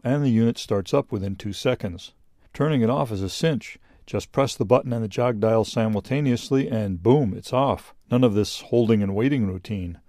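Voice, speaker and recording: A man's voice: on the low side, dark tone, no echo, studio quality. A man reading scripted narration for a product review video in a plain-spoken, explanatory way.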